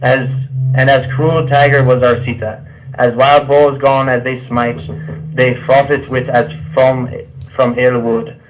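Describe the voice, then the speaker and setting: A man's voice: medium pitch, little pitch movement, unhurried. A man reading archaic verse aloud for a puppet show, stumbling over the old words. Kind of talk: halting read-aloud of Middle English verse. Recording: dull, muffled lo-fi sound.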